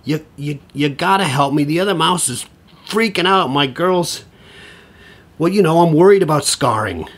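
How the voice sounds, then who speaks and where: An actor playing a middle-aged divorcing suburban dad in a podcast drama, profane, sardonic and rambling. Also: male voice, fairly high pitch, expressive, moderate pace, a bit bright, husky. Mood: frantic, pleading. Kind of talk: podcast audio drama acting.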